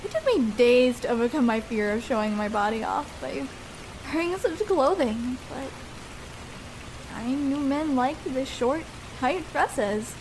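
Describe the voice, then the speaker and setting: A very high, slow young female voice over clearly audible rain noise. A woman plays a shy, vulnerable first-time escort in an intimate ASMR roleplay. She is tender and hesitant, feeling her way through each phrase. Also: animated. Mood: nervous, confiding. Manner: hesitant, halting, soft-hearted. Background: rain falling.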